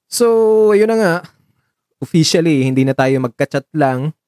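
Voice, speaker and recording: A man's voice: a little high, animated, normal speed, darkish tone, dry recording, natural voice. Actor as teasing, flustered young Filipino man, close-miked. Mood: warm, teasing. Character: teasing, playfully flustered young man hosting his date at home. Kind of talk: Tagalog radio drama dialogue, conversational.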